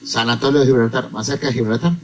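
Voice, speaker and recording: A man's voice: mid-range voice, steady tone, fast. A man hosting a radio interview, asking curious questions and stressing his points. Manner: inquisitive, emphatic questions. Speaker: radio host.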